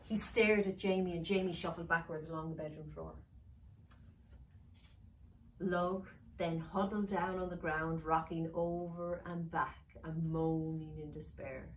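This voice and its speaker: A woman's voice: lowish voice, fairly even intonation, measured pace. Woman reading a story in an echoey room. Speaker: author reading her novel. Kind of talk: dramatic storybook narration. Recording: roomy, distant mic with faint noise.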